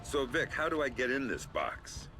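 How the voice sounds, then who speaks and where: An actor playing a dryly menacing, sardonic covert operative in a video game. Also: male voice, mid-range voice, sing-song, moderate pace, over music, natural voice. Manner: dry, sardonic. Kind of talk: acted video game dialogue.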